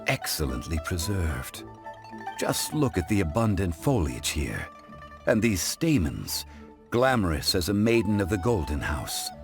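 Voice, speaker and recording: An actor playing a composed, erudite gentleman in an anime game dub, speaking formally and courteously, as if giving a measured lecture. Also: low-pitched.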